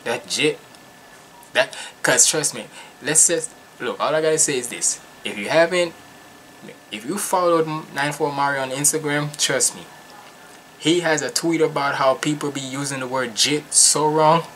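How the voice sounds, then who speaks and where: Young man, from Jamaica, bright forceful voice, emphatic slangy reaction talk, repeats himself, faint room noise. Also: on the high side, moderate pace. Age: young adult. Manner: animated, slangy, emphatic, repetitive. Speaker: reaction video host. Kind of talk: casual music reaction commentary. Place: small furnished room at home.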